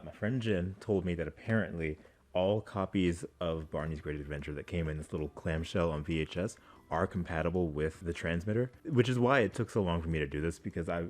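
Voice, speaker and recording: A man with a low voice talks casually as a reaction video host. His delivery is informal and rambling, with a playfully exasperated edge. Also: darkish tone. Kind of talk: casual chat to camera.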